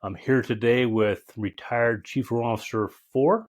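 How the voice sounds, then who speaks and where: A man's voice: mid-range voice, fairly even intonation, moderate pace. A man in his fifties who hosts a podcast, speaking in a reflective way and searching for his words as he introduces his guest. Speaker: podcast host. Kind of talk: casual interview conversation.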